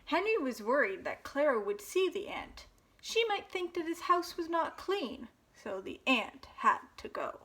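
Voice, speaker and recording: A woman hosting storytime, reading a children's picture book aloud in an expressive, dramatic way for young listeners. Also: sits high, measured pace, slight background noise.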